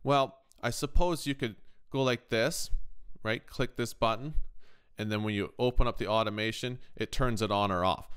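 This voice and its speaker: A man hosting a casual software tutorial, explaining conversationally and often checking in with the listener. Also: medium pitch, clear, resonant tone. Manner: conversational, checks in with "right?" and "okay?". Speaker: tutorial host, music production YouTuber.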